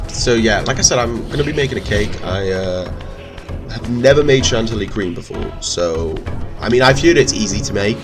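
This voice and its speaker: A man with a cold, giving rambling, chatty gameplay commentary in a stuffy voice. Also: sits low. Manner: rambling, chatty, self-correcting. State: stuffy with a cold and sore throat. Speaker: let's play host. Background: game music.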